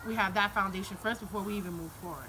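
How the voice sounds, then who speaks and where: A woman's voice: mid-range voice, rapid-fire, sharp tone. A woman in her thirties talking casually and thoughtfully, with a lot of "like" fillers. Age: 30s. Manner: reflective, filler-heavy with repeated "like". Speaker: guest. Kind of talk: casual group discussion. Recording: noisy background.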